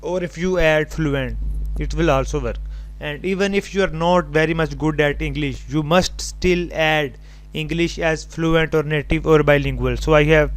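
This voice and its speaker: A man presenting an unscripted step-by-step tutorial, haltingly thinking aloud as he types. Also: a little high. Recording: home recording with clear background noise.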